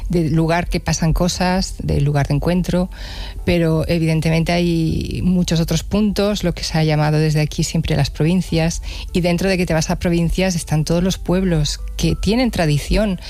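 A woman musician from Spain, speaking thoughtfully as an interview guest and pausing to search for words. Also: on the low side, steady tone, mellow, soft background music. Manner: thoughtful, reflective, with hesitations. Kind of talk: conversational interview.

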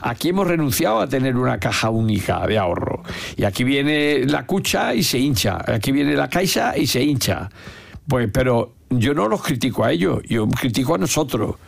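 An older man with an Andalusian accent, giving opinionated, anecdotal answers in an interview, often halting and repeating words. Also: medium pitch, sing-song, creaky. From Andalusia, Spain. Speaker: interview guest, veteran Andalusian politician. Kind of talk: unscripted reflective interview talk.

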